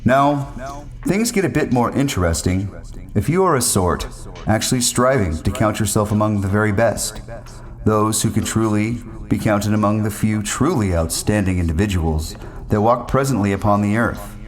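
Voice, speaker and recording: A man with a fairly low voice narrates a first-person story. His phrasing is ornate and wordy, wandering as he goes, with faint music underneath. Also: normal speed.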